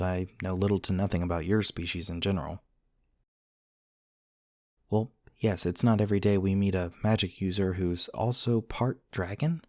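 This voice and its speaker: A man acts out an earnest male scientist in an intimate ASMR roleplay. His voice sits low, and he talks in polite, precise, wordy sentences with a touch of social awkwardness. The sound is dry, with no room echo. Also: darkish tone. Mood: curious, friendly. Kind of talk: ASMR roleplay, one-sided acted conversation.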